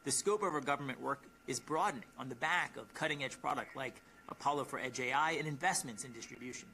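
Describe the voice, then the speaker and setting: A man with a bright, forceful voice reads prepared corporate remarks in a formal, emphatic way. His pitch sits in the middle range, and there is a faint layer of noise behind him on the call. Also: fast talker, poor audio. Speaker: company executive.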